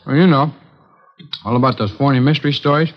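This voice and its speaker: An actor playing a chatty, remorseless killer who rambles in a folksy, matter-of-fact way, in 1950s radio style. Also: male voice, medium pitch, fairly quick, lightly acted. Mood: casual, explaining. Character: chatty, nonchalant male killer confessing without remorse. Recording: dull 1950s radio recording, hall reverb.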